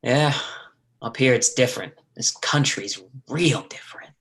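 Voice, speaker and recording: A man's voice: medium pitch, measured pace, fairly bright tone. An actor reading a stage play as a sly, menacing drifter brother, terse and colloquial in his needling. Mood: wry.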